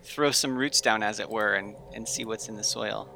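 A man's voice: on the low side, steady tone, normal speed, vocal fry, pushed and bright, a little hiss or hum. A man chatting casually as a tabletop game player, hesitantly stating his actions.

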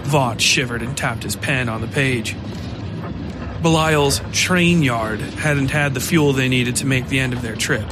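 A man narrating a scripted dark fiction story as a podcast storyteller. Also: mid-range voice.

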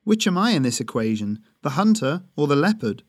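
A man's voice: fairly high pitch, sing-song, fairly quick, no room sound, clean studio sound. A man voicing a wry, teasing woman hunter with a blunt, mocking manner.

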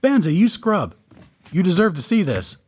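A man's voice: high-pitched, very animated, normal speed, a little mellow, slight background noise, pitched above the performer's own voice. A man voicing a sly, dry-witted doctor who speaks in a purring, wry way. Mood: instructive. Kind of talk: audiobook character voice.

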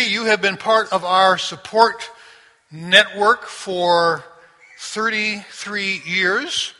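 A man's voice: a little high, bright, forceful tone. A man in his sixties from Sri Lanka, a missionary giving an informal, conversational talk to a church congregation. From grew up in Sri Lanka. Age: 60s. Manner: conversational, storytelling. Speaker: missionary and visiting church speaker. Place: large church room, speaking through a microphone. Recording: long hall reverb.

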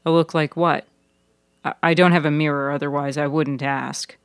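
Casting: woman narrator as a terse, serious man in podcast fiction, low register, dry close recording. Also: fairly even intonation, moderate pace, dry recording. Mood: matter-of-fact.

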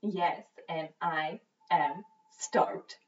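A woman's voice: on the low side, extremely slow, distant mic, highs cut off. A young woman with a New Zealand accent, co-hosting a casual podcast chat, cheerful, laughing often and swearing casually. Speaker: podcast co-host. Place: home office near a road.

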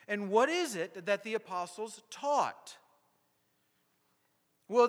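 A male pastor preaching a sermon to a congregation, emphatic and rhetorical, with deliberate pauses. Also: high-pitched, a bit bright. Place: church sanctuary. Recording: long hall reverb.

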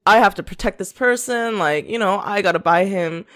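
A woman's voice: medium pitch, moderate pace, a bit bright. A woman co-hosting a podcast in casual chat, chatty and laughing often. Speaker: podcast co-host.

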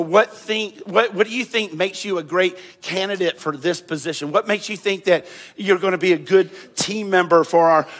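A male pastor preaching a sermon in a church, talking conversationally, repeating phrases and warming up as he goes. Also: sits high. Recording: long hall reverb.

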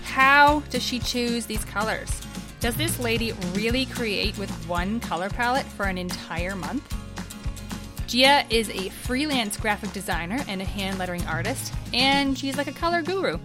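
A woman's voice: on the high side, expressive, normal speed. A woman hosting a podcast, reading an eager introduction and asking interview questions. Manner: enthusiastic, gushing introductions. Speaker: podcast host. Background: music bed.

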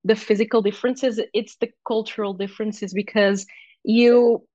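A talkative young woman with a Portuguese accent answers in a relaxed interview, restarting her sentences and filling gaps as she goes. Her voice sits mid-range and sounds close and dry, a little dull and lo-fi. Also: mid-range voice, moderate pace, no echo. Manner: talkative, many restarts and fillers, laughs easily. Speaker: guest athlete. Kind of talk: casual interview chat.

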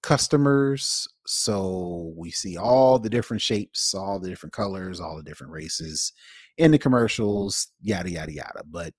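A man co-hosting a podcast, giving his opinions casually in an emphatic, halting way. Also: sits low, expressive, slightly rough, dry recording, clean studio sound. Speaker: podcast co-host.

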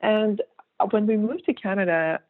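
A woman's voice: medium pitch, moderate pace, no echo. A woman in her thirties from Kazakhstan, a native Russian speaker, chatting casually as an interview guest, rambling with fillers and restarts and laughing easily. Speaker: guest artist. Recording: tinny phone-like line.

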